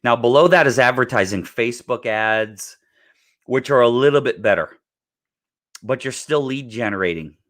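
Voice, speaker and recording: A middle-aged man with a raspy voice from recent illness, giving an emphatic live training on real estate networking and often clearing his throat. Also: medium pitch, normal speed, a bit bright.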